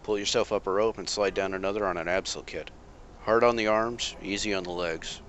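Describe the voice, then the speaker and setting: An actor playing a calm, analytical masked vigilante detective with a dry, measured delivery. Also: male voice, low voice, slight background noise. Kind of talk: amateur motion comic voice acting.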